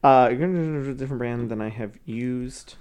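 A man's voice: medium pitch, takes it slowly, darkish tone, clean tone. A man co-hosting a casual podcast chat. He rambles, laughs a lot and stumbles through fillers. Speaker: podcast co-host.

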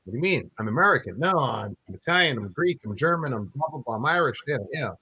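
Male co-host, casual and animated, quick to laugh, rambling storyteller. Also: mid-range voice, husky, highs cut off. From Philadelphia area. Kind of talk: casual chat.

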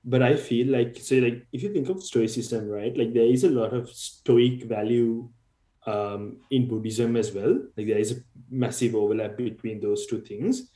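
A podcast guest from Sri Lanka with a mellow, darker voice, weighing his points carefully and softening them with hedges. He is recorded in a room with noticeable echo. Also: mid-range voice, moderate pace. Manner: thoughtful, hedging with frequent "sort of". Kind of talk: casual discussion.

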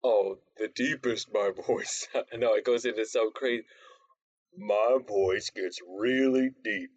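A man's voice: on the low side, steady tone, takes it slowly, breathy edge, dry recording, dull audio. A man playing a tabletop game, chatting casually and poking fun at himself. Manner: self-deprecating, rambling. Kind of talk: casual chat.

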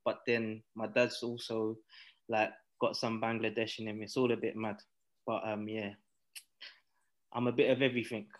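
A man of middling pitch who speaks in a flat, even tone. He talks casually over a video call, rambling a little and leaning on fillers. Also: moderate pace. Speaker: guest occupational therapist. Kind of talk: casual interview answer.

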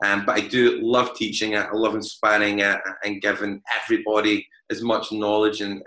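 Glaswegian guy, young, blunt and upfront, low-ish punchy voice, echoey room. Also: sharp tone. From Scotland. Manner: frank, upfront, deliberately slowed speech. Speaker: guest. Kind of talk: candid podcast interview.

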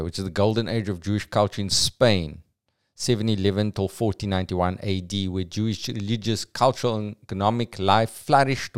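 A young man hosts a podcast and walks through history in a casual, joking way, dropping in slang as he goes. His voice is low and smooth. It sounds close-miked and dry, with no room echo. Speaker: podcast host.

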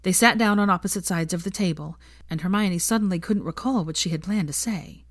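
A woman narrates a story in a measured, gentle manner. Her voice is a little low, and the recording is dry and close, with very little room sound. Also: no room sound. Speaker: audiobook reader of fan fiction.

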